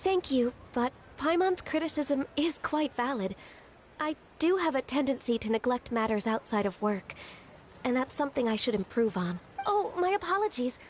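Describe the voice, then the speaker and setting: A high-voiced young woman official, gentle and diligent. She speaks formally and carefully as a game character, with faint ambience behind. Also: lively intonation, a little hiss or hum. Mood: apologetic. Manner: polite, measured, careful. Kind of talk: video game voice acting.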